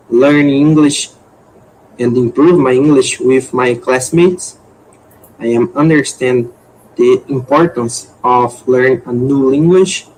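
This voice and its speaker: Young Brazilian man, slow and upbeat. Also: mid-range voice, darkish tone, slight background noise, low-quality recording. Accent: Brazilian Portuguese. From Mato Grosso, Brazil. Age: 20s. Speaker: language student.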